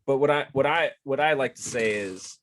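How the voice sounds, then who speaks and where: A man's voice: medium pitch, normal speed, a bit bright. A young man co-hosting a casual sports podcast, chatty and laughing often as he talks. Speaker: podcast co-host.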